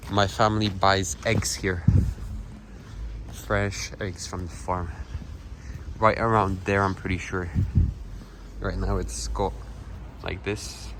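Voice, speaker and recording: Young Polish man vlogging outdoors. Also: low voice. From Poland. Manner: rambling, self-correcting. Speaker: travel vlogger. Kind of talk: casual walking tour. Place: outdoor town square. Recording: outdoor street noise.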